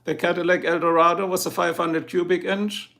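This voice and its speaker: A man's voice: high voice, little pitch movement, a little fast, creaky, fairly bright tone. A middle-aged man with a German accent, chatting casually with viewers on his livestream and answering their comments. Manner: chatty, answers viewers one by one, chuckles often. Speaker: livestream host.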